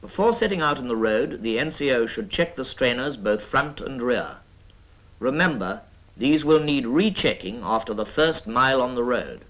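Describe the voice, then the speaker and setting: A British man reads scripted 1950s military training film narration in a measured, instructive manner with dry asides. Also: mid-range voice, lively intonation, a bit bright. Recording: dull vintage 1950s film soundtrack.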